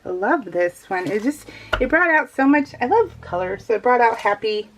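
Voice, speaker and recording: A woman's voice: on the high side, lively intonation, normal speed, a little hiss or hum. A middle-aged American woman chatting casually and informally as she narrates her craft project, in a rambling, self-deprecating way. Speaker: crafting vlogger. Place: indoors at a craft table, voiced live while working.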